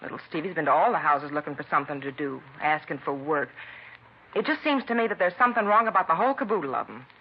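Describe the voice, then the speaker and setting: An actress playing a nosy, pushy middle-aged neighbor in a 1950s radio crime drama, talking in a brassy busybody way. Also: female voice, low voice, animated, quick pace. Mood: insistent. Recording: lo-fi vintage radio broadcast with faint noise.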